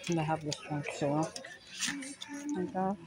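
A woman's voice: sits low, fairly even intonation, measured pace. A woman giving a casual, off-the-cuff shopping vlog narration in short, fragmentary remarks as she browses a store on her phone. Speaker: toy shopping vlogger. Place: large retail store aisle, indoors. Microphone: handheld phone, held by the speaker behind the camera. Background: store music.

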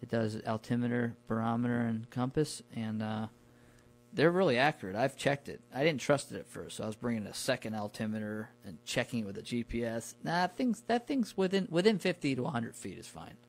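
A man with a middle-pitched voice talks informally, as if swapping stories with a buddy. His wording is loose and colloquial, and he recounts things in an easy conversational way. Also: lively intonation, a little fast. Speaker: podcast guest recounting his camping trips. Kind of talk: casual conversational storytelling.

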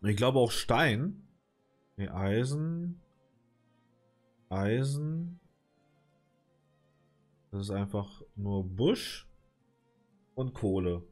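A man's voice: lowish voice, lively intonation, extremely slow, pauses often, faint music behind. German man with a cold, rambling. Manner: rambling, hesitant, lots of filler, mild swearing. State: has a cold, clears throat. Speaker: let's play gamer. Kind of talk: casual gameplay commentary.

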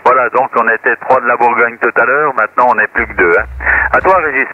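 A man's voice: mid-range voice, fairly even intonation, quick pace. A man from France chatting and explaining casually as an amateur radio operator, through a two-way radio transmission. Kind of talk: casual radio group chat. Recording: muffled noisy shortwave radio transmission.

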